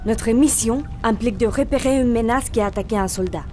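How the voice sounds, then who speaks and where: Casting: actress as curt, businesslike military sergeant, fairly high voice, formal delivery, audio drama over prominent music. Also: female voice, a little fast, a little mellow, natural voice. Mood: impatient. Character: brisk, formal, mission-minded female army sergeant. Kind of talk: French audio fiction acting.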